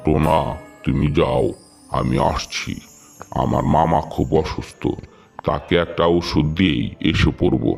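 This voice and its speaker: A man's voice: rumbling low, measured pace, vocal fry, soft background music, exaggerated voice. A man voicing a lazy, greedy male crow in a cartoon fable, sly and bossy. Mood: feigned excuses.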